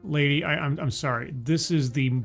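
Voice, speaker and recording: Sarcastic guy riffing on a talk live, deadpan, stammers, soft music behind. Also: on the high side, moderate pace, gravelly. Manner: deadpan, mocking, stammering asides. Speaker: streamer reacting to a talk.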